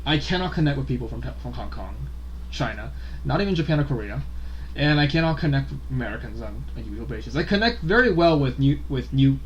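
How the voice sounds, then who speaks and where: A man from Taiwan chats as a guest on a casual podcast. He talks haltingly, restarting sentences and hunting for words mid-thought. His voice sits at an ordinary middle pitch, with a faint hiss of room noise behind him. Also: expressive, highs cut off. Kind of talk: casual chat.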